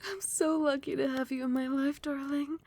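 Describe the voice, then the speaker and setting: A woman voicing a loving, protective vampire queen in an intimate ASMR roleplay, breathy and often sinking into a whisper, soothing and doting. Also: high-pitched, little pitch movement, normal speed, a little mellow, slight background noise. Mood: overjoyed, tearful. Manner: doting, soothing, affectionate pet names.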